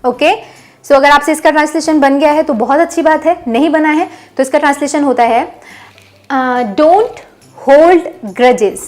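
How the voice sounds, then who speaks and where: A woman teaching spoken English, explaining in plain speech and saying each model sentence slowly and clearly for learners. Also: sits high, a little mellow. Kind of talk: bilingual language lesson.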